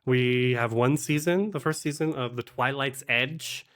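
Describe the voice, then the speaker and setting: A man's voice: mid-range voice, a little slow, a bit bright. A man talking casually as a podcast guest, conversational, sometimes voicing imagined remarks as he explains. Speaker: podcast guest, actual play game master and producer. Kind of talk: casual interview chat giving advice.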